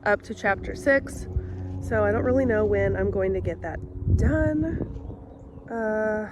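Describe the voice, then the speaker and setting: A young woman vlogging in a relaxed, rambling way, sounding congested and sick. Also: mid-range voice, measured pace, background noise. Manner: rambling, chatty with fillers. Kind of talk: casual vlog chat.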